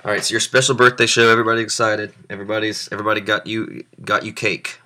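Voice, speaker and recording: A young man hosting a show with loud, joking banter and mock-showman flourishes. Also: lowish voice, little pitch movement, fast. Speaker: podcast host. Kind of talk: comedic casual chat.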